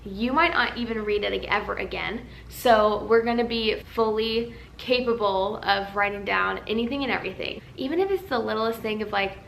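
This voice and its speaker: A young woman vlogging in a casual, chatty way, tired and a little raspy from a nap, in a large empty house. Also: medium pitch, moderate pace, few pauses, fairly bright tone, roomy sound, slight background noise, poor audio. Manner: chatty, rambling, earnest. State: tired, slightly raspy from just waking up. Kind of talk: casual chat to camera. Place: large empty house used as a wedding venue.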